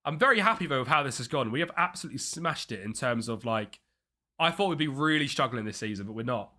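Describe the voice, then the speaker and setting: A young man streaming a football management game live, chatting with viewers and thinking his tactics through out loud. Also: mid-range voice, expressive, fast talker. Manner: chatty, thinks aloud, reads out chat messages.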